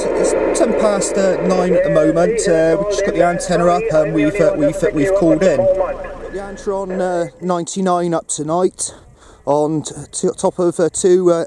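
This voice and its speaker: A man from Britain talking casually about his radio setup on a hilltop at night, hesitant, with many fillers and restarts. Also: sits high. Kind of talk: casual spoken vlog commentary. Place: outdoors on a hilltop beside his car at night. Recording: distant mic with loud background noise.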